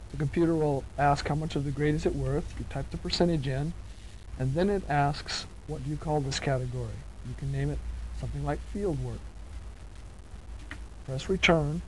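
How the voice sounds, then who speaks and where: A man's voice: fairly high pitch, normal speed, slight background noise, poor audio. A man giving methodical, step-by-step scripted instructional narration, recorded on a 1970s cassette tape.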